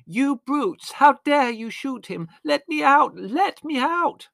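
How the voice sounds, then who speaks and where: A man voicing a hysterical, heartbroken young woman who sounds shrill and tearful. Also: lively intonation, takes it slowly, vocal fry, bright, forceful tone, dry recording, studio quality, exaggerated voice.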